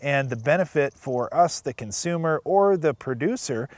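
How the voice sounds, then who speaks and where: A man's voice: on the high side, lively intonation, normal speed, darkish tone, dry recording. Middle-aged male farm show co-host, plain explainer, dry recording. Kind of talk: scripted outdoor farm show chat. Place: outdoors in a field.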